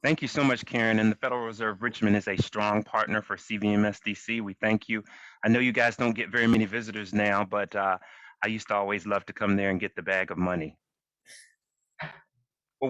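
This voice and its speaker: A man's voice: fairly low pitch, fairly bright tone, no echo. A man moderating a virtual panel, friendly and joking as he introduces speakers. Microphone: video call. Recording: dry, dull video-call audio.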